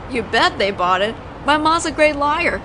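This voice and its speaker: A woman's voice: high voice, lively intonation, moderate pace. A woman playing a flirtatious, teasing mafia boss in an audio roleplay, talking in a playful, conversational storytelling way. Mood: amused reminiscing. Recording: noisy outdoor city ambience, traffic.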